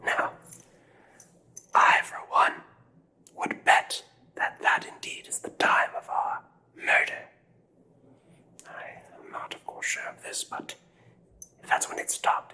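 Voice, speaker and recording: Guy acting as a nervous polite doctor, all whispered asmr, old-fashioned wording, crisp and close. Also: sharp tone. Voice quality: breathy whisper. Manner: formal, hesitant, old-fashioned polite phrasing. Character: nervous, courteous, perplexed doctor aiding a murder investigation.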